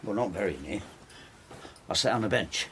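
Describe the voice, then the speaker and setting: An older man with a low voice, narrating his life in a radio play. He is inward and resentful, confiding his thoughts in a reflective, intimate manner. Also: lively intonation, normal speed, sharp tone, a little hiss or hum. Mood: guarded. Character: brooding older Frenchman, resentful, inward, estranged husband. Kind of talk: radio drama, intimate first-person narration and dialogue.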